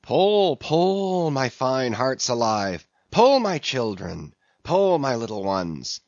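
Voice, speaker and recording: A man's voice: on the high side, huge pitch swings, takes it slowly, a bit bright, no room sound, highs cut off, pitched above the performer's own voice, heavily acted. A man voicing a jovial whaleboat mate in a sea-novel audiobook, coaxing and mock-scolding his rowing crew with drawling exhortations. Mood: soothing, coaxing.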